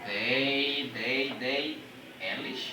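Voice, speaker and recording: A man's voice: mid-range voice, very slow. A man whose first language is Portuguese teaches a beginner lesson in English with a Portuguese accent. He drills simple phrases patiently and repeats them often. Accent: Portuguese-speaking. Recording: distant roomy amateur recording with background noise.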